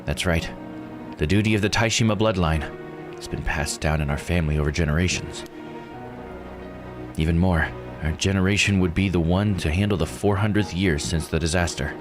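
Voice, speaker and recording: Man playing a weary diver woman, very low pitch, confiding, regretful, visual novel, music behind. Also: moderate pace, lightly acted. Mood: regretful, resigned. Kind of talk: reading visual novel dialogue aloud. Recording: music under the voice. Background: game music.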